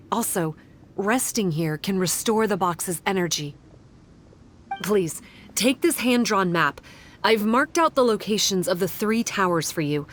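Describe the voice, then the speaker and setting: A woman playing a composed, analytical guide in a fantasy game, speaking in a measured, formal, explanatory way. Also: mid-range voice, slight background noise, lightly acted. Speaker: game character giving quest briefing. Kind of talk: scripted game dialogue.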